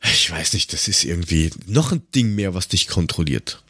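A man's voice: low voice, animated, moderate pace, bright, forceful tone, breathy edge, dry recording, clean studio sound. An Austrian man chatting casually as a podcast co-host, rambling and grumbling in a sarcastic, coarse-mouthed way.

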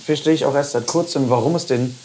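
A woman hosting a casual cooking chat, slightly hoarse today, chatty and self-mocking. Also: extremely low pitch, quick pace. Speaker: podcast host. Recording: noisy kitchen with frying.

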